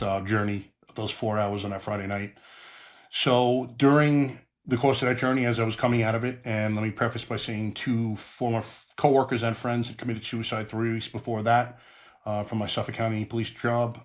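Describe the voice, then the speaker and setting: Flat, low-voiced Long Island man. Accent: Long Island New York. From Long Island, New York. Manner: plain-spoken, frequent "uh" fillers, earnest. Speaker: podcast host. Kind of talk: podcast monologue and interview.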